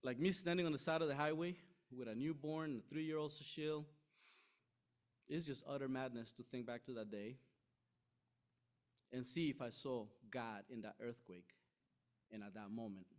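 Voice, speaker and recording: Young guy preaching, chatty and lively, big church hall, far camera. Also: medium pitch. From Costa Rica. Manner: conversational, animated, acts out quoted voices. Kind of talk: sermon. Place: large church hall with stage.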